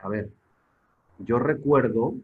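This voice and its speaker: A man's voice: medium pitch, slow. A Spain-born man gives an emphatic talk over a video call, his voice thin and phone-like. He poses rhetorical questions and repeats words while he gathers his thoughts. He has a mid-range voice. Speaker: guest expert on public security. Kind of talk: conversational lecture in an online forum. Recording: tinny phone-like online call audio.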